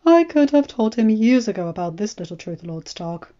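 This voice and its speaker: A woman's voice: fairly high pitch, big pitch swings, normal speed, mellow, dull audio. A woman voicing a shrewd male royal spymaster who speaks formally, in a knowing, indulgent manner. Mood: knowing, insistent.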